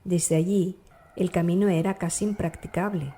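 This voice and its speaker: Woman audiobook narrator, mellow dark tone, lowish pitch, measured literary storytelling, faint background noise. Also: steady tone. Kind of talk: scripted literary narration of a dark classic novel.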